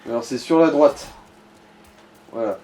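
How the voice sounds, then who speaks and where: A man's voice: mid-range voice, a little slow, warm tone, slight background noise, rough recording. A man chatting casually as he guides a player through a game, in informal, crude slang. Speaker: co-commentator guiding the player. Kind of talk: casual gaming chat.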